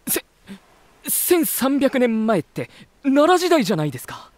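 A man's voice: very high voice, sing-song, unhurried, no room sound, full character voice. An earnest, polite male reporter. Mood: surprised. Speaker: voice actor narrating as lead character. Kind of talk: first-person voice drama narration and dialogue.

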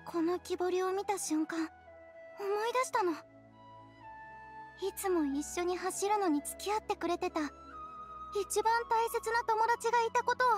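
Casting: childlike, sickly young girl, earnest and wistful, very high voice, music underneath, anime game. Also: measured pace, long pauses. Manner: soft-hearted, childlike, earnest.

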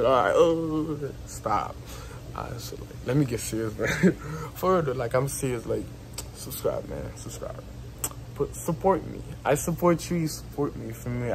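A man's voice: medium pitch, darkish tone, poor audio. Young man with a strong Haitian accent. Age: young adult. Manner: rambling, repetitive, filler-heavy talk with laughs. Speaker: YouTuber. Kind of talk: casual vlog chat. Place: outdoors on a patio by a house wall. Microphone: phone held at arm's length. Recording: phone recording with background noise.

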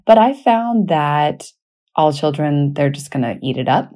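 Low, mellow, husky-voiced woman podcaster. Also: animated, unhurried. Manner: informal, anecdotal, conversational. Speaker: podcast host and elementary teacher. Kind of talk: casual spoken podcast talk.

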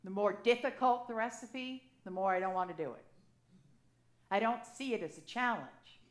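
A woman in her sixties, an assisting priest, preaching a sermon from the pulpit in a conversational, storytelling way with lively gestures, speaking into a pulpit microphone. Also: mid-range voice, moderate pace. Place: church sanctuary, at a pulpit. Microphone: gooseneck pulpit mic. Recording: echoey church sanctuary.

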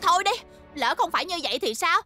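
A woman's voice: extremely high pitch, expressive, fast talker, pushed and bright, soft background music, full character voice. A woman voicing a stubborn, hot-tempered little cartoon schoolgirl. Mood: grumbling, regretful. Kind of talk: cartoon dubbing.

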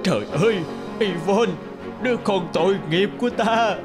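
A man's voice: sits high, moderate pace, over music, lightly acted. A man voicing a caring, solemn middle-aged king and father in a fairy-tale cartoon. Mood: moved. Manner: solemn, emotive.

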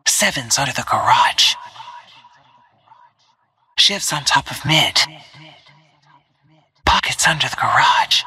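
A woman voicing a stealthy, focused female assassin in a shooter game, giving terse callouts in a hushed, husky, breathy voice. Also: rumbling low, expressive, takes it slowly, long pauses, heavily acted. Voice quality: breathy, husky, near-whispered. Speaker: video game character voice.

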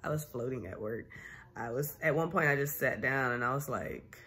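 A woman's voice: a bass voice, moderate pace. A young woman chatting casually and candidly to her phone camera at home, tired and getting over a sinus infection. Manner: candid, animated storytelling with laughs. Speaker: vlogger. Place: small room at home, seated in armchair. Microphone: phone camera at arm's length.

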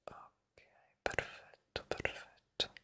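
A man whispers soothingly and breathily in an ASMR barber roleplay, reassuring and softly repeating words, close to the microphone. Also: extremely slow. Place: small dim room indoors. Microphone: close studio microphone in front of him.

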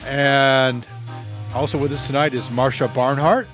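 A man from the United States hosting a panel podcast, introducing guests in a relaxed, chatty way. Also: mid-range voice, measured pace. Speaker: podcast host. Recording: music clearly behind voice.

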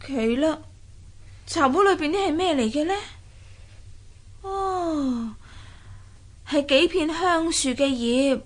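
An actress playing a curious, plucky young fisher maiden in a radio drama, exclaiming and sighing as she talks to herself. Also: high-pitched. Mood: pleasantly surprised. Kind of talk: Cantonese radio drama acting.

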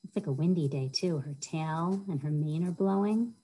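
A woman's voice: sits low, normal speed, warm tone, slight background noise, rough recording. A middle-aged American woman hosting a children's storytime, reading and chatting simply to young listeners. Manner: simple, child-directed talk with questions to listeners. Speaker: children's librarian, storytime host. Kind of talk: children's storytime read-aloud with chatty asides. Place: indoors, small wood-panelled room. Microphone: webcam or laptop at arm's length.

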